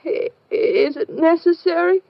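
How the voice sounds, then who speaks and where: Grieving small-town widow, muffled radio. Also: female voice, very high-pitched, unhurried, gravelly, no room sound, full character voice. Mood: hesitant, earnest. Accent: American. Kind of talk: 1950s radio drama acting. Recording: dry, muffled vintage radio recording.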